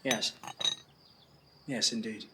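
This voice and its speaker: An actor playing an earnest, melancholy gentleman of Victorian London in an audio drama. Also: slow pace, a little hiss or hum. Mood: sad, hesitant. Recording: loud music and clinking behind.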